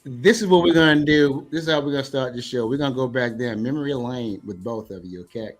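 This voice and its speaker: A man's voice: medium pitch, fast. An American man hosting an interview show in a casual, conversational way. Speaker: show host. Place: video call.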